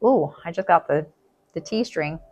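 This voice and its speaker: A woman reading tarot in a casual, chatty way, thinking aloud and repeating herself, her voice going hoarse. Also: fairly low pitch, animated, normal speed, a little mellow, dull audio. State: losing her voice. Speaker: tarot reader.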